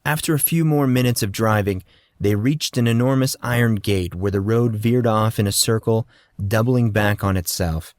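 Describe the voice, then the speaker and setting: A man narrating a fantasy audiobook in steady, measured storytelling. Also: on the low side, no room sound, studio quality.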